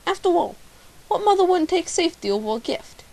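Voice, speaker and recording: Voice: very high voice, sing-song, fairly quick, creaky, darkish tone, exaggerated voice. A young-sounding amateur actor playing a bumbling, pompous male private eye in a radio drama, theatrical and self-important. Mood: self-important narrating. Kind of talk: amateur radio drama detective narration and dialogue. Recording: hissy, noisy amateur home recording.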